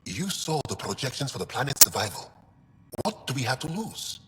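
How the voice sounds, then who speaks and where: A man's voice: medium pitch, sing-song, a little fast, bright, forceful tone, slight background noise. An actor playing an earnest, thoughtful male alien scientist in a video game, explaining things a little hesitantly. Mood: pressing. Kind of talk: video game dialogue acting. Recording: long reverb, music underneath.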